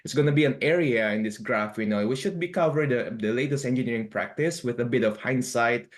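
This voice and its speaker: A man's voice: mid-range voice, fairly quick. Chatty man from Indonesia on a video call. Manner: conversational, peppers speech with "you know" and "right". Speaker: senior engineer and lecturer, panelist. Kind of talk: online panel discussion and presentation.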